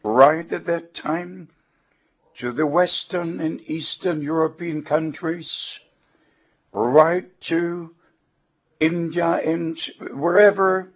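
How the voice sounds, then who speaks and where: A man with a German accent, a native German speaker, preaching a sermon in slow, measured, deliberate short phrases. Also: on the high side. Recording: dull lo-fi sermon recording.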